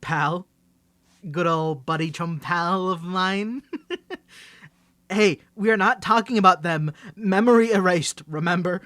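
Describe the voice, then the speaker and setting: A man's voice: high-pitched, takes it slowly, pushed and bright, no echo, exaggerated voice. A man playing a nervous young guy hiding his superhero identity from his best friend in an ASMR roleplay, stammering through rambling excuses. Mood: defensive teasing. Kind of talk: ASMR roleplay audio drama.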